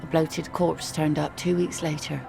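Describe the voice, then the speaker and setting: A weathered, grim seaside villager, speaks in a low voice thick with creak. The video game delivery is blunt and matter-of-fact. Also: female voice, level tone, measured pace, dark tone, soft background music, exaggerated voice. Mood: grimly matter-of-fact. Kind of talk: video game character dialogue.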